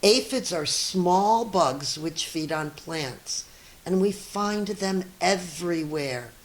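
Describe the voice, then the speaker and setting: A woman's voice: lowish voice, animated, slow, low-quality recording. A middle-aged or older woman teacher reading a storybook to children, explaining things simply and addressing young listeners directly.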